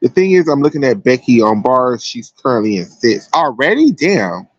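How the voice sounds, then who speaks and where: A man's voice: mid-range voice, sing-song, moderate pace, no echo, muffled recording. An American man giving casual, chatty sports commentary as a livestream host, emphatic and slangy.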